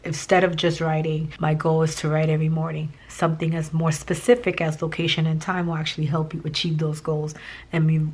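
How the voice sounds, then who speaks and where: A woman with a hoarse, raspy voice from a recently lost voice, chatting casually and rambling a little while she plans, with many fillers and restarts. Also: sits low, a little mellow. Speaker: planning vlogger. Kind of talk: casual chat while planning.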